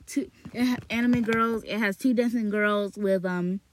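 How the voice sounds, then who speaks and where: A woman reading a novel aloud slowly on her podcast, then rambling in casual chat, repeating words with many fillers and restarts, recorded in a small closet. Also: medium pitch, fairly even intonation, slight background noise.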